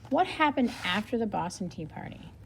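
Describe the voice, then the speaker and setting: Woman, teacher, expressive read-aloud lesson, simple explanations, animated pitch, faint background noise. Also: fairly quick, vocal fry, poor audio. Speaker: teacher reading a nonfiction book aloud.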